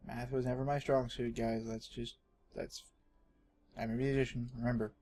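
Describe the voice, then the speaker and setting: A man's voice: medium pitch, flat delivery, normal speed, dark tone. Texan man rambling over gameplay, faint noise. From Texas, United States. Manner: jokey, self-deprecating, talks to himself while playing. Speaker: gaming video host. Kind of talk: casual rambling gameplay commentary. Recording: home recording with faint background noise.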